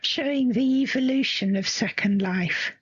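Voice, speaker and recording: A woman on a panel, talking slowly and reflectively. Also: on the high side, dull audio, slight background noise. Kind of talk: casual panel talk.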